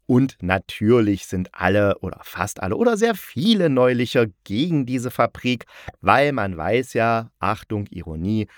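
Low-voiced man podcasting, dry sound. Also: no echo. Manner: wry, dry ironic asides. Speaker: podcast host reviewing audio dramas. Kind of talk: casual solo podcast talk.

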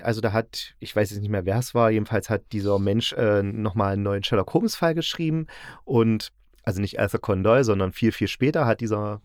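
A man from East Germany hosting a casual podcast about audio dramas, telling things in an animated, colloquial way. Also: on the low side, no echo, clean studio sound. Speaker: podcast host.

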